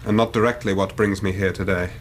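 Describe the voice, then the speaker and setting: An actor plays an earnest, worried young Victorian Englishman in a radio drama. His voice sits on the low side and his speech is formal and precise, with faint noise behind it. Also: fairly even intonation, quick pace, close to an everyday voice. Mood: earnest, grave. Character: anxious, earnest Victorian young man, grieving son. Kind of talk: radio drama acting, narrating his own story.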